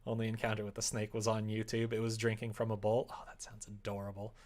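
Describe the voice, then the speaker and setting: A man's voice: fairly low pitch, monotone, fast, a little mellow. Casual, rambling man chatting on a livestream. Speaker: live streamer. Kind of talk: casual chat with stream viewers, reading out their comments.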